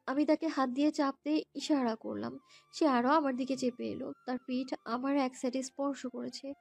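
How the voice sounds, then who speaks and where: Very high, girlish woman storyteller. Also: steady tone, moderate pace, gravelly, darkish tone, no echo. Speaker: narrator and lead voice actor. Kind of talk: dramatised first-person romantic story narration. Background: background music.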